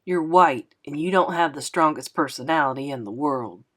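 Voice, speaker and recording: A woman's voice: sits low, moderate pace, fairly bright tone, pitched below the performer's own voice. A woman voicing a teasing, proud, blunt male musician from a 1930s jazz band in a storybook. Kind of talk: acted storybook dialogue.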